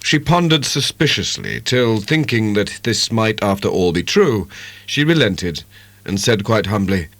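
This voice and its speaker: Male narrator, measured literary storytelling, very lively intonation, close dry sound. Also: lowish voice, no room sound. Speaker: audiobook narrator. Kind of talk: dramatic scripted narration of a classic novel.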